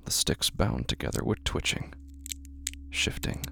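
A man narrating a scripted horror story in a deliberate, ominous, dramatic manner, pausing between short phrases. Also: slight background noise. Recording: loud music under the voice. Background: music.

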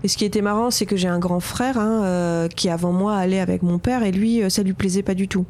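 A woman's voice: medium pitch, fairly even intonation, brisk, darkish tone. Hesitant middle-aged woman in an interview. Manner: hesitant, full of fillers and restarts. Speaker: fisher and outdoor lecturer. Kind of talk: radio interview answers.

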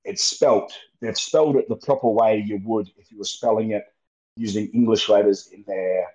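Low-voiced Australian man on a muffled call. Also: normal speed. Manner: relaxed, rambling, laughs easily. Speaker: guest wrestler. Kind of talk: casual interview chat.

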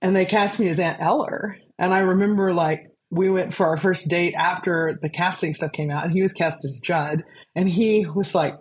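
A woman from Arkansas with a low speaking voice, telling stories as an interview guest, chatty and laughing often. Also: steady tone, moderate pace, hardly any pauses. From Arkansas, USA. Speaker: guest composer. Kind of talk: casual conversational storytelling.

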